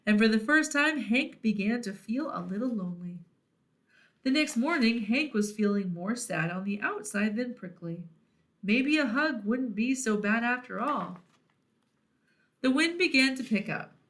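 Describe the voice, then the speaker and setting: A woman reading a picture book aloud to children in an expressive storytelling style. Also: a little high, unhurried. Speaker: children's read-aloud host.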